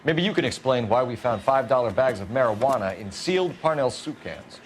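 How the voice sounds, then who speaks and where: An actor playing a stern, methodical 1940s police detective, pressing a suspect in clipped lines. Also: male voice, medium pitch, animated, a little fast, slight background noise, lightly acted. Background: music score.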